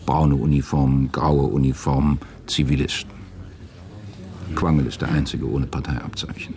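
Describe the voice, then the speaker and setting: A male narrator reads a radio drama with measured sobriety. His voice is extremely deep and gravelly, with faint noise behind it. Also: steady tone, warm tone. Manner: measured, sober.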